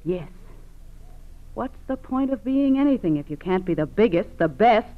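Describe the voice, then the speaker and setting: An actress with an American accent playing a scheming, domineering wife of a crook in 1940s radio style, coaxing and commanding by turns. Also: female voice, mid-range voice, expressive, normal speed, natural voice. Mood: driven, ambitious. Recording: tinny old radio broadcast recording.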